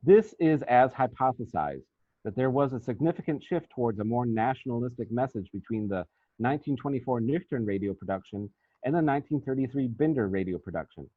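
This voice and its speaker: A man reading out a scripted academic paper as a researcher, in a formal, measured and deliberate manner. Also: mid-range voice, expressive, dry recording. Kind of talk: scripted academic presentation, read aloud. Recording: thin, phone-like band-limited sound.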